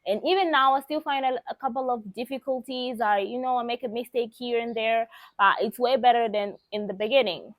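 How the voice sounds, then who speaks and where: A young woman with a Mozambican accent, a native Portuguese speaker, talks to her viewers in a somewhat high voice. She tells personal experiences in a casual, candid way, leaning on repeated phrases for emphasis. The sound is dry, with little room echo. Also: a little fast, hardly any pauses. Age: young adult. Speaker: vlogger.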